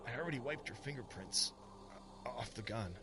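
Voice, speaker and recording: An actor playing a weary, forgiving father in a radio drama, gentle and halting, with sighs. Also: medium pitch, animated, background noise, lightly acted. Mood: weary, reassuring. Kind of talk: modern radio drama acting.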